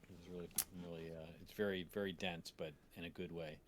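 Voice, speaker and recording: A man's voice: deep voice, fairly even intonation, a little fast, a little hiss or hum. A man chatting casually on a stream as a co-host giving feedback, choosing his words thoughtfully.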